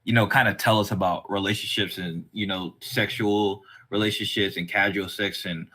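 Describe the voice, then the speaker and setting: A man with a slightly low, bright and forward voice hosts a relaxed podcast conversation. He rambles a little, circling his thoughts with frequent small filler phrases. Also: moderate pace. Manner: hesitant, rambling phrasing with many "like" and "you know". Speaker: podcast host. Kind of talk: casual podcast interview.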